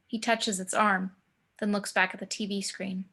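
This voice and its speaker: Female narrator, mid-pitched, measured delivery of scripted stage directions. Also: level tone, pushed and bright. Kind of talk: reading screenplay action descriptions.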